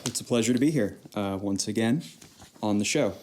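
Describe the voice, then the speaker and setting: A man playing a flustered, earnest investigative guest on a radio play talk show, halting and circling his point. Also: lowish voice, darkish tone, slight background noise, close to an everyday voice. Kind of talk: radio play interview acting. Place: live stage performance before a small audience.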